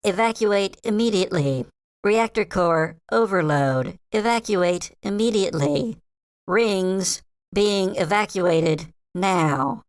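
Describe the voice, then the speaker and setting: A game master voicing a station computer that makes flat, repetitive automated emergency announcements. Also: medium pitch, slow pace, no echo, voice pushed up, natural voice. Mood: impersonal alert. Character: station computer announcing reactor overload and evacuation.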